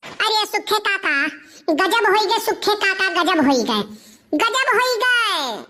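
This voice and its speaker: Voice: extremely high pitch, expressive, slow, pushed and bright, full character voice. A performer voicing a scheming, vengeful young male village troublemaker with an Awadhi accent in a comic cartoon. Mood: breathless alarm.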